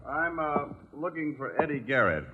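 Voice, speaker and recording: An actor playing a cool, wisecracking American private detective with a dry, sardonic manner in an old radio drama. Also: male voice, on the high side, sing-song, normal speed, breathy edge. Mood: cool, needling. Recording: muffled old radio recording.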